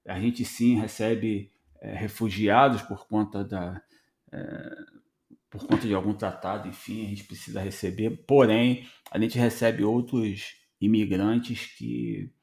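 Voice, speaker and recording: Gravelly-voiced Brazilian man chatting. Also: on the low side. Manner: conversational, animated storytelling with voiced dialogue. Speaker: podcast host. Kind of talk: casual podcast chat.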